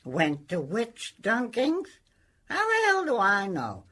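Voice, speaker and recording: A woman's voice: on the high side, wildly swooping pitch, takes it slowly, a bit bright, full character voice. An actress playing a sardonic, blunt older woman in a radio comedy. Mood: sardonic, irritated. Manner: blunt, wry. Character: cranky, sardonic older woman, neighbour of the family.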